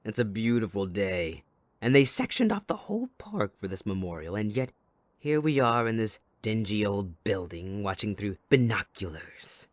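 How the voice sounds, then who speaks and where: A man playing a sadistic, possessive supervillain kidnapper in an audio roleplay, taunting theatrically and mock-sweetly, moving between hushed menace and outbursts. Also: fairly low pitch, lively intonation, moderate pace, no echo, close to an everyday voice. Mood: mock-mournful, taunting. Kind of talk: dramatic audio roleplay monologue.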